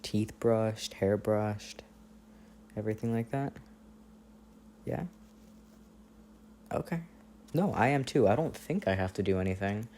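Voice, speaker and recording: A man playing an affectionate, playful boyfriend on a long-distance phone call, in intimate, casual ASMR roleplay with gentle teasing and hesitant pauses. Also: lowish voice, slight background noise, natural voice. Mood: tender, teasing. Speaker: audio roleplay performer.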